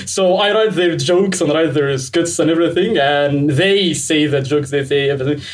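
A man's voice: a little high, moderate pace, recorded from a distance. A man from Egypt with an Egyptian accent, calling in and chatting cheerfully, laughing often. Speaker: caller. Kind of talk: casual chat.

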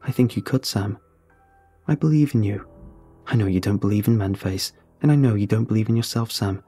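A man voicing an intense, earnest male friend in a horror story, speaking in a deliberate, insistent way. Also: on the low side, mellow, husky, faint music behind. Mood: earnest, reassuring. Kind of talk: dramatic horror story dialogue.

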